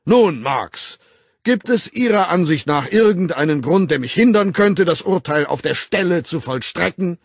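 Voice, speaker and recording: A man reading an audiobook voices a pompous, authoritarian male director who denounces an employee in slow, loud, declamatory speech. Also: sits high, expressive, creaky, husky, no room sound, studio quality, pitched above the performer's own voice, exaggerated voice. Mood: haughty. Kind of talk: audiobook character voice.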